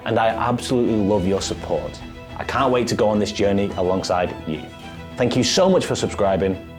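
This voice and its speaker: A man's voice: lowish voice, a little mellow. A man hosting a podcast, who introduces the show and asks questions in an upbeat, encouraging and friendly way. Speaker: podcast host. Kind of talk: podcast host intro and interview.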